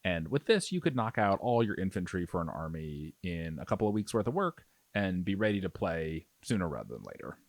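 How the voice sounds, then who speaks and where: A man with a low voice hosts a casual tutorial. His intonation moves up and down a lot as he talks through each step. The sound is dry and close, with very little room. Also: sing-song, brisk, hardly any pauses, dry recording. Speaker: miniature painting tutorial host. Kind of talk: casual hands-on tutorial narration.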